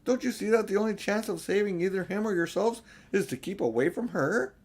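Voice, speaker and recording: A man's voice: high voice, fairly quick, a little mellow, husky, voice pushed up. A man voicing a grave, worldly-wise male beaver from a storybook, speaking in an emphatic, warning way. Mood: alarmed warning. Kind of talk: storybook character voice in an audiobook.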